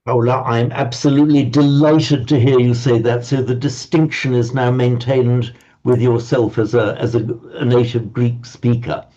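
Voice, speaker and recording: A man's voice: medium pitch, steady tone, normal speed, warm tone, highs cut off. An older man teaching a language class, playfully pedantic and prone to stumbling repetitions.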